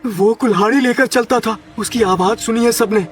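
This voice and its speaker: Man doing a high-pitched, anxious hospital ward boy. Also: moderate pace. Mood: fearful, ominous. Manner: stammering, pleading. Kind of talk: cartoon horror story dialogue. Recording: music under the voice.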